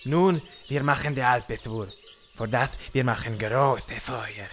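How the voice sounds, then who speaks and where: Man doing spanish accent witch, calm n solemn, broken grammar, mid pitch, comedy audio play. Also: soft background music, natural voice. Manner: deliberate, broken syntax. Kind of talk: comic audio drama acting.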